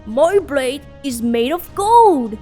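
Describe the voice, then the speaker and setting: A greedy, selfish rich man from a storybook, played by a woman. The voice is high and drawn out, emphatic and slyly insincere. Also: big pitch swings, slow pace, warm tone, soft background music, full character voice. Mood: falsely protesting.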